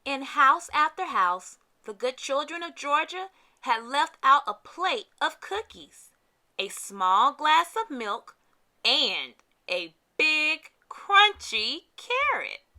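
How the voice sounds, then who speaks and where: Female storybook reader for kids: very bright, animated pitch, dramatic emphasis. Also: slow pace. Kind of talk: read-aloud scripted narration for kids.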